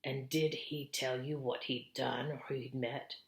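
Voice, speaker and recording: A woman voicing a grave, knowing male beaver from a storybook, speaking in an ominous, deliberate way. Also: rumbling low, level tone, reverberant. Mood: grave suspicion.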